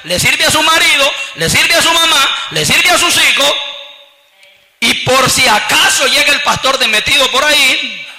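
A Salvadoran-accented man preaches with fervor, turning again and again to his listeners as brothers. His voice sits very high and cuts through with a sharp brightness. A long reverb from a big hall trails behind every phrase. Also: lively intonation, normal speed, a little hiss or hum. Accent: Salvadoran Spanish. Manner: fervent preaching, keeps addressing the congregation as brothers. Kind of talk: sermon.

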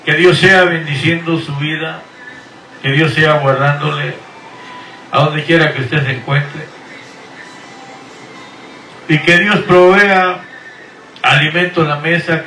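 Slow-preaching pastor in echoey room. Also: male voice, a little high, bright, forceful tone, slight background noise. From Guatemala. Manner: slow, drawn-out, earnest blessing delivery. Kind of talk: preaching and radio greetings. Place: Houston, Texas. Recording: echoey room, voice from far off the mic.

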